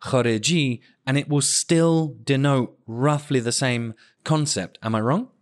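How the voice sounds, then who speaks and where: A man's voice: medium pitch, measured pace. A man hosting a podcast in casual conversation, joking and poking fun at himself. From United Kingdom. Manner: jokey, self-deprecating. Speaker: podcast host.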